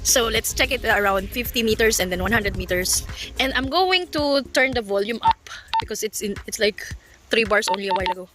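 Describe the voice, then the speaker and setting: A woman's voice: on the high side, lively intonation, brisk, over music. A woman with a Filipino accent giving casual, cheerful vlog commentary outdoors. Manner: giggly, cheerful. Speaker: vlogger reviewing a product. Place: outdoors in a forest.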